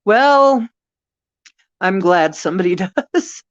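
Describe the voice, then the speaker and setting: Slow-talking older host with a Northeastern Ohio accent. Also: medium pitch, no room sound, highs cut off. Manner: chatty and expressive, laughs often, trails off with fillers. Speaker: channel host. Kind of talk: casual livestream chat.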